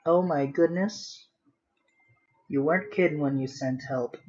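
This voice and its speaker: A man's voice: on the high side, unhurried, a little mellow, muffled recording. A man chatting casually on a drawing livestream, rambling to himself in a playfully dramatic way. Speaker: streamer, digital artist.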